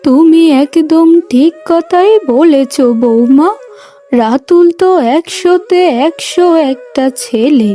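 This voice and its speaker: Woman doing a proud bossy mom in a cartoon drama, high voice, bit of music under it. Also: warm tone. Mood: approving. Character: status-proud, domineering middle-aged mother of a grown son. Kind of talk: cartoon family drama dialogue.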